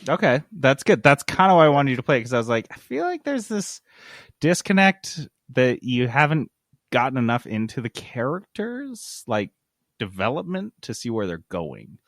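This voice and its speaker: A man's voice: medium pitch, sing-song, normal speed, a bit bright, dry recording, clean studio sound. A man chatting casually as a gaming podcast host, with loose, irreverent, sweary talk. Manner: profane, irreverent.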